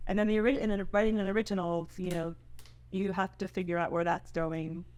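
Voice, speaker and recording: A woman's voice: on the low side, little pitch movement, fairly quick, a little mellow. A woman speaking as a screenwriter in a casual Q&A, chatty and loose in her phrasing, often adding "you know" and "like". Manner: chatty, loosely phrased with frequent 'you know' and 'like'. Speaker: screenwriter answering questions. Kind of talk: casual spoken Q&A.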